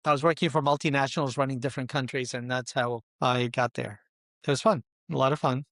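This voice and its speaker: A man in his sixties from Colombia, answering interview questions in deliberate short phrases that often list things. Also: mid-range voice, fairly even intonation, fairly bright tone, no room sound, clean studio sound. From born in Colombia, immigrated as a small boy. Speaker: podcast guest, luxury consultant and investor.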